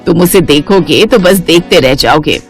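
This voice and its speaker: A woman's voice: fairly low pitch, normal speed, soft background music, natural voice. A woman voicing a scheming, contemptuous middle-aged stepmother in a cartoon story, sly, bossy and coaxing. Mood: gushing. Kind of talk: Hindi cartoon story character acting.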